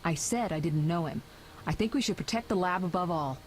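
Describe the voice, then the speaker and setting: A woman playing a headstrong, sharp-tongued young sorceress in a video game, assertive in manner. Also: on the low side, natural voice. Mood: determined. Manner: assertive, brisk retorts. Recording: game audio with faint background noise.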